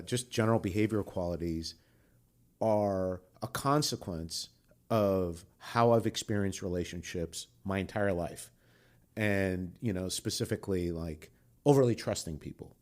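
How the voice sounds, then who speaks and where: Male podcast co-host, lowish voice. Thoughtful, searching interviewer. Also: measured pace. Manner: thoughtful, feels his way through questions with fillers.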